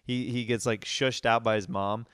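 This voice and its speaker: A man in his thirties hosting a podcast, telling a story in a casual, animated way. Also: mid-range voice, normal speed, clean tone, dry recording, studio quality. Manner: animated storyteller, mimics voices in quotes. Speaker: podcast host.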